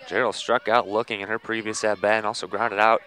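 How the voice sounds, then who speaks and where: A man calling a softball game live on the radio, measured between plays and ready to burst into excited calls. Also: lowish voice, slight background noise. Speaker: play-by-play sports commentator.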